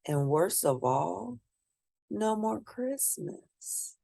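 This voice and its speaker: A woman reading a children's picture book aloud, expressively, with theatrical, drawn-out emphasis for young listeners. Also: mid-range voice, sing-song, gravelly, dark tone, no room sound. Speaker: storybook reader.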